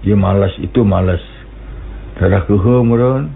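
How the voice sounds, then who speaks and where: A Sundanese man with a Sundanese accent, telling comic folk jokes and breaking into laughter and high character voices. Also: measured pace, vocal fry, slight background noise. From West Java, Indonesia. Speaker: comic storyteller. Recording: tinny phone-like sound with a live crowd.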